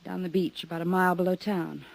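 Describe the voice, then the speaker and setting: An actress playing a weary, guarded woman stranded abroad in a 1950s radio drama, speaking softly and pleadingly. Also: lowish voice, flat delivery, fast talker, creaky, low-quality recording. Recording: old radio broadcast recording.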